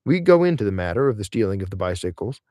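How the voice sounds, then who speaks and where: A man narrates a literary essay as if for an audiobook. His voice sits fairly low, and he reads with measured, deliberate emphasis. The recording is dry, with very little room sound. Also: big pitch swings, warm tone, no echo. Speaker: reader of an essay aloud.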